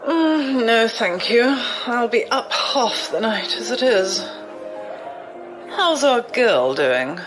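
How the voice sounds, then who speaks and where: A woman's voice: a little high, sing-song, takes it slowly, music in the background, low-quality recording. An actress playing a sly, amused, commanding old noblewoman in an audio drama, with refined, teasing, theatrical delivery. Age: older.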